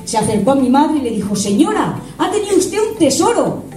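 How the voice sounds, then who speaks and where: A woman's voice: high-pitched, very animated, fairly quick, far from the mic. A woman performing a stand-up set on a small club stage, delivering self-deprecating punchlines into a handheld mic. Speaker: stand-up comedian. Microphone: handheld mic on stage, filmed from the room. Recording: roomy live club recording from afar with music.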